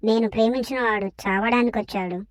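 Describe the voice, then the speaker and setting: A woman voicing a proud, vengeful young woman in an animated parody, dramatic and challenging. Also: on the high side, quick pace, dry recording, close to an everyday voice. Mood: melodramatic. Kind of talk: animated parody comedy voice acting.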